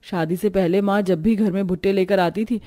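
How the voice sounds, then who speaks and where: A woman voicing a poor young married woman in a cartoon story, gentle, concerned and practical. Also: medium pitch, steady tone, brisk, close to an everyday voice. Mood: caring. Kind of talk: cartoon story character dialogue.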